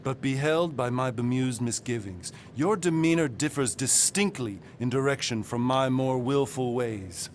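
A man's voice: mid-range voice, a little slow, few pauses, a little hiss or hum, close to an everyday voice. A man playing a proud, brooding cosmic warrior in a video game, speaking in ornate, archaic, alliterative phrases. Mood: grave, solemn. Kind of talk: theatrical video game acting.

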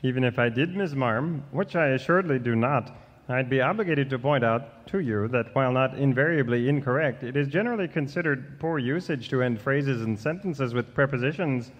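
An actor playing a fussy, literal-minded grammar stickler in a radio comedy sketch, speaking with precise, formal diction. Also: male voice, medium pitch, brisk, in a large hall, highs cut off, lightly acted.

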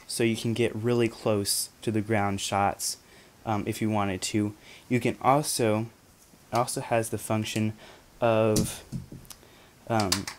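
Young man, low voice, flat delivery, casual gear review, chatty with ums. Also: a little hiss or hum. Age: young adult. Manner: chatty, many ums and restarts. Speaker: photography reviewer. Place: bedroom.

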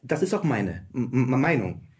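An actor playing a flustered, dim-witted palace steward in a fairy-tale radio play, stuttering heavily and parroting others. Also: male voice, mid-range voice, very animated, normal speed, darkish tone, natural voice. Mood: servile, parroting. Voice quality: heavy stutter. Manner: echoes others, keeps adding "jawohl".

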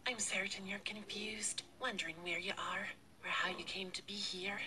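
An actress playing a calm, mysterious female guide in a video game. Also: medium pitch, moderate pace, a little hiss or hum.

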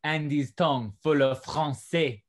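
An actor playing a genial, courteous young gentleman in love, in a Restoration stage comedy over a video call. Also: a little high, steady tone, unhurried. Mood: amused, gossipy. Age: young adult.

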